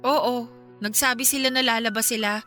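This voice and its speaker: A woman's voice: on the high side, steady tone, moderate pace, sharp tone. An actress playing a hurt, neglected young wife and mother in a Filipino radio drama, with a plaintive, emotional delivery. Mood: hurt, reproachful. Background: music.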